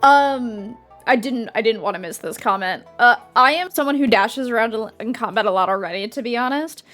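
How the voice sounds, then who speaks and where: A woman streamer talks casually over her gameplay in a slightly high voice. She rambles and restarts her sentences as she explains her play. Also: brisk, a bit bright, faint music behind. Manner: chatty, rambling, with fillers and restarts.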